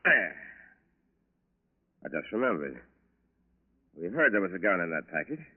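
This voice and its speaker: Low-voiced, unruffled American FBI agent. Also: male voice, very animated, measured pace, exaggerated voice. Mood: cool authority. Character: calm, dogged male FBI special agent. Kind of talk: 1940s radio drama acting. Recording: muffled, narrow 1940s radio recording.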